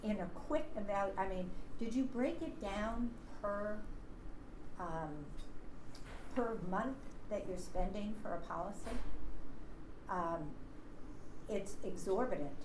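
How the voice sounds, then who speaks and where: A woman speaking during public comment at a town board meeting, earnest and pausing often with fillers. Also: medium pitch, lively intonation. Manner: earnest, with many pauses and fillers. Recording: roomy government-access recording with background hiss.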